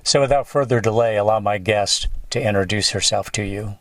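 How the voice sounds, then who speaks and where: A man's voice: fairly low pitch, brisk, a bit bright. A man from upstate New York hosting a podcast interview, chatty and lightly joking. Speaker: podcast host.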